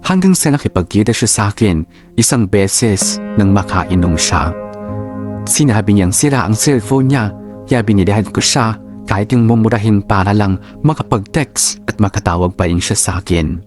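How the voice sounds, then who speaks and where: Guy reading heartbroken filipino love story narration, lowish voice, sentimental radio drama, music playing under it. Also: animated, normal speed, darkish tone, lightly acted. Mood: sorrowful.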